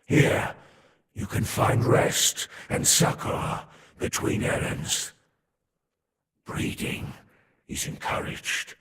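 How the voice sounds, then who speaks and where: A man voicing a haughty, ominous serpent-folk overlord in a fantasy game, speaking in solemn, formal, imperious pronouncements with a breathy, whispery tone. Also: drawn-out words, long pauses, a bit bright. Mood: lofty, condescending. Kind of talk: scripted fantasy game lore narration. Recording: echoey hall reverb with ambient noise.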